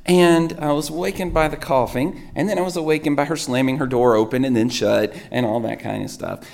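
A hoarse male pastor tells a rambling, self-deprecating story during his sermon. His voice is gravelly from a sore throat and sits in a middling range for a man. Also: mid-range voice, steady tone, a little fast, a little mellow.

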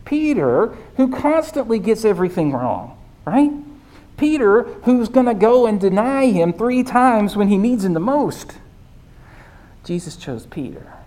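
Squeaky-voiced preacher, conversational sermon. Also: sing-song, measured pace, warm tone. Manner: conversational, keeps asking "right?". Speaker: pastor.

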